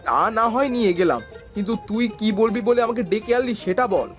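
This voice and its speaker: A man playing an earnest young man who narrates and speaks in an animated horror story, with music behind him. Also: high-pitched, moderate pace. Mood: casual, animated. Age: young adult.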